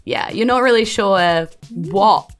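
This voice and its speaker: A woman's voice: mid-range voice, animated, takes it slowly, soft background music. A woman with a New Zealand accent, improvising narration as a game master in a tabletop game.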